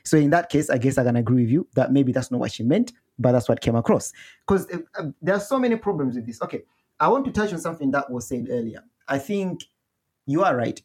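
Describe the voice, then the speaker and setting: A Malawian-accented man takes part in a heated podcast discussion. His voice is husky and mid-pitched, and he presses his points insistently in a casual, argumentative style. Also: animated, fast, a little mellow. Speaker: podcast panellist.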